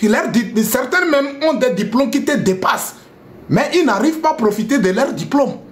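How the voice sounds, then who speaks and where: A man's voice: extremely high pitch, lively intonation, moderate pace, reverberant. A man ranting scornfully on a live stream, repeating himself and mixing French with a local language. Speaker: live streamer addressing viewers. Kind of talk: heated live-stream rant.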